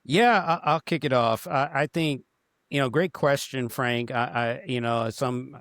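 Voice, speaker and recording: A male running coach explaining things on a podcast with lots of restarts and playful asides. His voice is mid-pitched and sits dry and close to the mic. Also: fairly bright tone, no room sound. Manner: halting, restarts often, joking. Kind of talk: casual podcast discussion.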